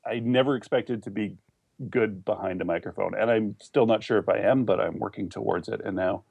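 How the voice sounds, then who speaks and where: A man's voice: fairly low pitch, fairly quick, creaky, slightly rough, no room sound, phone-quality sound. A man with a Canadian accent chatting casually and informally as a podcast interview guest, telling his story in a loose, rambling way. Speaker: podcast guest, online entrepreneur.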